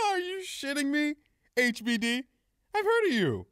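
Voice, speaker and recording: A woman's voice: sits high, very animated, a little slow. A woman playing a menacing, vulgar male mobster with a mocking, swaggering manner in a crime comedy audio drama.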